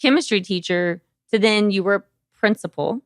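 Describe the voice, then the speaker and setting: A woman's voice: medium pitch, moderate pace, no echo, clean studio sound. A woman hosting a podcast as a doctor, talking in a chatty, informal interview style. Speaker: podcast host, OB-GYN doctor.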